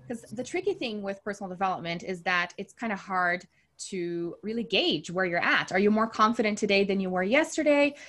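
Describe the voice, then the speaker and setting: Woman in her thirties, from Brazil, medium pitch, earnest and enthusiastic, coach being interviewed, conversational. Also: expressive, fairly quick, few pauses, fairly bright tone.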